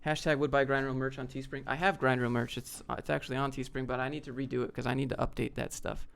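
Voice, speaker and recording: A man's voice: medium pitch, level tone, quick pace, vocal fry, a bit bright, a little hiss or hum. A man from the United States hosting a livestream, chatting off the cuff in a blunt, slangy way as he reads out questions and answers them. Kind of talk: casual off-the-cuff Q&A chat, reading questions aloud.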